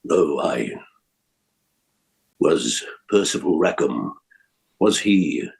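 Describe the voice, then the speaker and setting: A man's voice: rumbling low, animated, extremely slow, gravelly, breathy edge. A man, a voice actor, reminiscing casually and hesitantly about the characters he has played.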